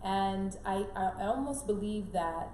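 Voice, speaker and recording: A woman's voice: medium pitch, lively intonation, measured pace, a little mellow. A middle-aged woman raised in Canada and born in Jamaica, giving earnest conversational advice as an interview guest, emphatic and repeating key phrases. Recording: roomy echo, clear background noise.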